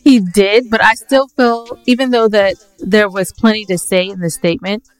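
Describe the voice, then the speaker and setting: A woman's voice: medium pitch, fairly even intonation, normal speed, clean tone, no echo, faint music behind, poor audio. Playful woman co-hosting a podcast. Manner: playful, teasing. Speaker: podcast co-host. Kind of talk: casual banter between co-hosts.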